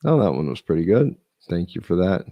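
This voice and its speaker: A man's voice: low voice, sing-song, brisk, no room sound, highs cut off. A man hosting a casual stream, chatting loosely and thinking aloud while he is losing his voice. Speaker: host. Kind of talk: casual live-stream reading and chat.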